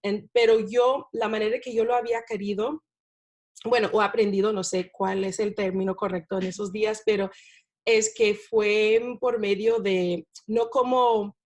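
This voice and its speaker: A woman's voice: mid-range voice, fairly even intonation, moderate pace, a little mellow. Thoughtful woman teacher, non-native Spanish speaker. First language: not Spanish. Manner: thoughtful, frequent fillers and tag questions. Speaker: guest, language teacher. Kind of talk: casual interview talk.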